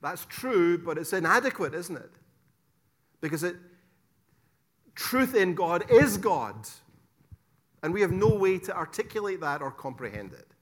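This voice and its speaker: Man, Scottish accent, middle-aged, lecturing on doctrine, higher pitch, punchy stresses, soft asides. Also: big pitch swings, a little slow, long pauses. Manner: emphatic, swings between loud stresses and quiet asides, rhetorical questions. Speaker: preacher teaching theology. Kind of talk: theology lecture.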